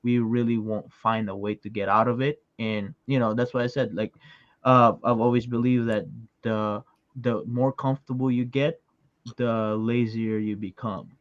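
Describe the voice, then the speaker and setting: A man's voice: mid-range voice, fairly even intonation, moderate pace, dry recording. A male host with a mid-range voice talks reflectively and agreeably, filling his sentences with ums and you-knows. The audio is dull and lo-fi, dry and close. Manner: reflective, agreeable, many fillers. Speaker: podcast host. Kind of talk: casual interview chat.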